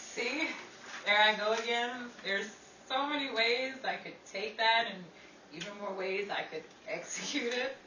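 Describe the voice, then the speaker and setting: A woman playing a chatty, quick-witted actress in a playful 1930s radio comedy. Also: on the high side, sharp tone. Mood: flustered. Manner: playful, wisecracking ad-libs. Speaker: host and lead actress. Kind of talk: 1930s radio comedy acting before an audience. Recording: roomy, distant, noisy live audience recording.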